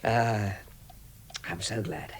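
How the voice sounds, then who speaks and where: An actor with an English accent playing a fussy, warm, excitable little hobbit host, stammering and flustered in a vintage radio drama. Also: male voice, lowish voice, very slow, slight background noise. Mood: warm, chatty. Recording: vintage radio recording with music.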